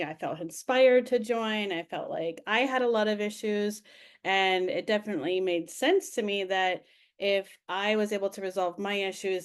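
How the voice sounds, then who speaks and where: Woman, panelist, warm, thoughtful, mid-range voice, video call. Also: medium pitch. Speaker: panelist, former cult member. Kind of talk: moderating and personal testimony on a webinar panel.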